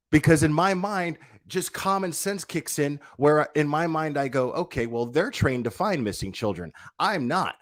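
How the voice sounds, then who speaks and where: A man's voice: fairly high pitch, no room sound, clean studio sound. A man delivering a livestreamed opinion rant in a blunt, profane, confrontational way, repeating himself for emphasis and asking rhetorical questions. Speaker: online commentator, livestreamer.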